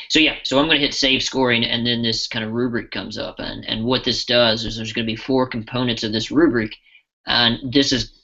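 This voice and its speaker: Bright gravelly man demoing software. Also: mid-range voice, highs cut off. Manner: casual, loose, with frequent restarts. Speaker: software trainer. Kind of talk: live software demo walkthrough.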